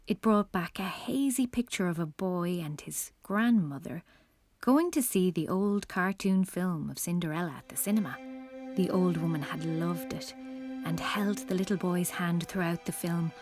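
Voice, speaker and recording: A woman's voice: lowish voice, normal speed, a little mellow, husky. A woman narrating a children's story as scripted narration. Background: music.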